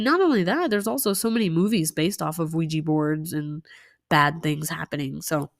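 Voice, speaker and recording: Young woman, lowish voice, casual story time host, chatty with fillers, dry close recording. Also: no echo. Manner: chatty, relaxed, many fillers and asides. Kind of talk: casual storytime while doing nails.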